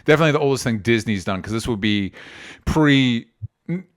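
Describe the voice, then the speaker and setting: A man's voice: mid-range voice, animated, fairly quick. A man with an American accent chatting casually and informally about entertainment news as a podcast co-host, often qualifying what he says. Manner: informal, hedging explanations.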